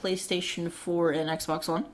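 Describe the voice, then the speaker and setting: A woman's voice: lowish voice, little pitch movement, a little slow, a little hiss or hum. A woman co-hosting a gaming podcast, chatting informally and acting out short quotes. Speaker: podcast co-host. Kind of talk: casual gaming podcast chat.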